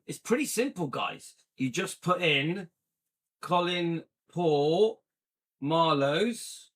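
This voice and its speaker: A man's voice: fairly high pitch, a bit bright. A man hosting a vlog, chatting casually and rambling to his viewers while browsing videos. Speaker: vlog host.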